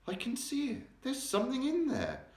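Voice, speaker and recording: A man's voice: fairly low pitch, huge pitch swings, fairly quick, reverberant. A man voicing a curious, plucky little girl who asks questions.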